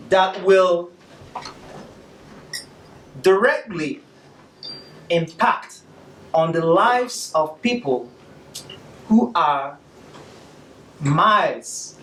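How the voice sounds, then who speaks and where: A man with a Nigerian accent giving a presentation to an audience in a measured, emphatic way. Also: fairly high pitch, a bit bright, reverberant, recorded from a distance, a little hiss or hum, poor audio. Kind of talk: capstone presentation.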